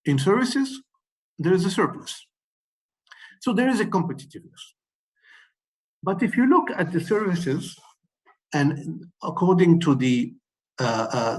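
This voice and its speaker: A man from Morocco speaking as a webinar panelist, setting out his points in a measured way with many fillers and restarts. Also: on the high side, a little mellow, low-quality recording. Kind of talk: policy talk, numbered key messages.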